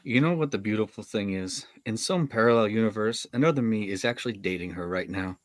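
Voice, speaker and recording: A man's voice: on the low side, a little fast, close to an everyday voice. A man playing an awkward, sarcastic, self-aware eighteen-year-old nerd in a script read. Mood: wistful. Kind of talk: live table read of a film script.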